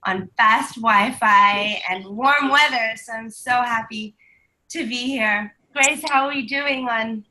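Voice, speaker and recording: A woman's voice: fairly high pitch, takes it slowly, pushed and bright, rough recording. A woman co-hosting a live stream from home, upbeat and chatty with lots of ums, sounding stuffy from a cold. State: stuffy from flu. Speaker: co-host.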